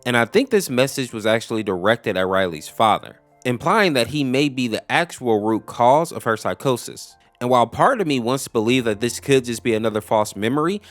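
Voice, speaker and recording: Casting: male video narrator, average pitch, conversational storyteller explaining things casually, background music. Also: lively intonation, a little fast, hardly any pauses, dry recording. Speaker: YouTube host explaining animated horror lore.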